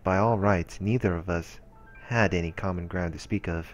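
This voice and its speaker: Casting: male let's-play narrator, low register, measured reading of story prose, soft music underneath. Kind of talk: reading visual novel narration aloud. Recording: voice-over with music behind. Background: game music.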